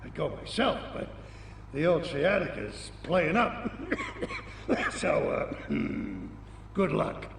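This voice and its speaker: A man playing an older, grumbling army veteran in a video game, folksy and halting in his talk. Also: fairly high pitch, very animated, creaky, echoey room, in a large hall, far from the mic, slight background noise, exaggerated voice. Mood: gruff, friendly. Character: grumbling, ailing old army veteran fixer. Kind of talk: video game cutscene acting.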